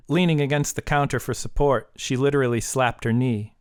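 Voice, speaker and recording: A man's voice: mid-range voice, fairly even intonation, normal speed, clear, resonant tone, no room sound, clean studio sound. A man reading a short story as scripted audiobook narration.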